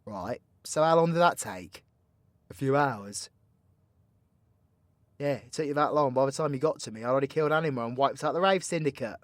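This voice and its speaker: A man's voice: medium pitch, fairly quick, pauses often, dry recording, natural voice. A man playing a cocky, foul-mouthed, playfully teasing supervillain mentor in an audio roleplay, with a British accent and a slangy, swaggering, theatrical delivery. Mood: teasing, instructive. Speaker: voice actor. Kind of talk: villain ASMR audio roleplay, addressing the listener.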